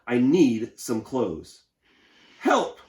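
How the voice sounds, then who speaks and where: A man's voice: medium pitch, big pitch swings, drawn-out words, darkish tone, echoey room. A man voicing an embarrassed, upset little crayon, whiny and pleading, in a children's storybook read-aloud.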